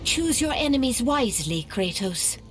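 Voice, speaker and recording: An actress playing a grave, mysterious Greek oracle in a video game, with a portentous, deliberate delivery. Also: female voice, on the high side, very animated, soft background music. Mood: grave warning. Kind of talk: dramatic video game acting.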